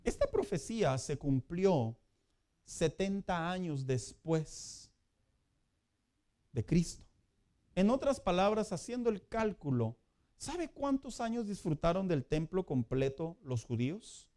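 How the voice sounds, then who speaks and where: A man preaching a sermon as pastor in a conversational way, building to loud emphatic peaks. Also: high voice, very animated, slow pace.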